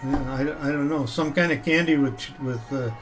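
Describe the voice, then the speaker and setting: A man's voice: fairly high pitch, a little fast, soft background music. An older man as an interview guest, giving brief, casual answers with dry humor. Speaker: interview guest, father of the hosts.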